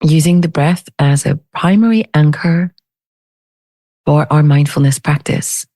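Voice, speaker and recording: A woman leading a guided meditation in a gentle, unhurried manner with long pauses between phrases. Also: sits low, dry recording. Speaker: meditation teacher.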